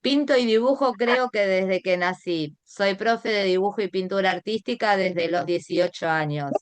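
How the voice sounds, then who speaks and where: A woman's voice: mid-range voice, normal speed, clear, resonant tone, no echo. A middle-aged Argentine woman presenting an online art class as its teacher, guiding listeners directly and asking them rhetorical questions. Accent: Argentine Spanish.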